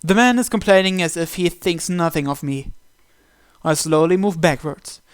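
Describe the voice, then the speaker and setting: A man reading a visual novel aloud in an expressive, dramatic first-person narration with occasional stumbles. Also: on the high side, normal speed, clear, resonant tone, no echo, clean studio sound.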